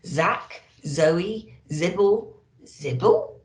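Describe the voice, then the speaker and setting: A woman's voice: lowish voice, lively intonation, very slow, reverberant. A woman reading a children's storybook expressively as a Christmas elf storyteller, with dramatic emphasis.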